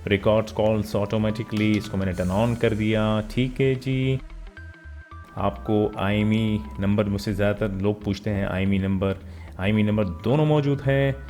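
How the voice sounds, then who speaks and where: Low-pitched man narrating a tech walkthrough. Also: fairly even intonation, normal speed, dark tone, soft background music. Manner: mixes English words and phrases into speech. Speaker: tech vlogger and host. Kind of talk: informal phone update walkthrough narration.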